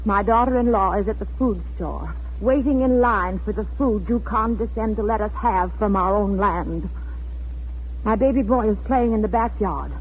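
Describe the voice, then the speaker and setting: A woman's voice: medium pitch, normal speed, natural voice. An actress playing an anxious, protective, defiant mother under occupation in a dramatic 1940s radio play. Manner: earnest, dramatic. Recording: scratchy, phone-like 1940s radio recording.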